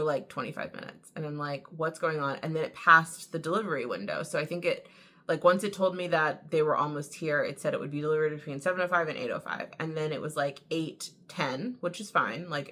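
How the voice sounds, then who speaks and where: Young female vlogger, low register, a bit raspy, loose chatty storytelling. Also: fairly bright tone. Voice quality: a little raspy. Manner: chatty storytelling, many "like" fillers. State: tired from early waking. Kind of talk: casual chat to camera.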